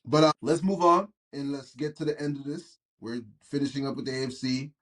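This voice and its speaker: An excitable man from Massachusetts hosts a casual sports podcast. His voice sits in the middle range, and his talk is slangy and quick to jump in. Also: medium pitch. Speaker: podcast host.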